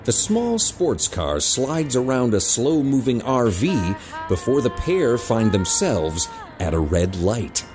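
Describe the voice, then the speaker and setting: A man reading scripted story narration in a measured storytelling manner. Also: medium pitch, big pitch swings, over music.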